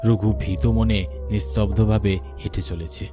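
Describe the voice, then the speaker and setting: A man with a fairly low voice delivers scripted horror narration for a cartoon. Clear background music accompanies his storytelling. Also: steady tone, normal speed.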